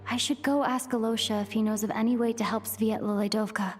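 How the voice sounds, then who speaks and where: An actress playing a thoughtful, curious young adventurer heroine in a video game, musing aloud to herself. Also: female voice, a little high, steady tone, brisk, close to an everyday voice. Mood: pensive. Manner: pensive, thinking aloud. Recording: long reverb, music behind.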